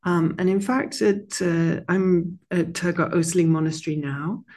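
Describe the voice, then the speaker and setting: A soft-spoken woman leading a meditation and then chatting with her online class as their teacher, slow and gentle at first, then warm and full of fillers. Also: on the low side, fairly even intonation. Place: monastery room.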